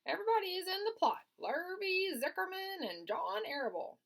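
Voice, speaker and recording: A woman's voice: very high-pitched, sing-song, moderate pace, gravelly, a bit bright, pitched above the performer's own voice, exaggerated voice. A woman voicing a blunt, gossipy old female sheep who delivers grim news matter-of-factly. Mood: knowing. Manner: blunt, matter-of-fact telling.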